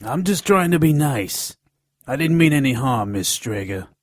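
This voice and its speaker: An actor playing a lecherous, sardonic gnome informant in an audio drama, leering and wisecracking in a gravelly voice. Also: male voice, medium pitch, expressive, normal speed, no room sound, lightly acted. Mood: leering, sarcastic.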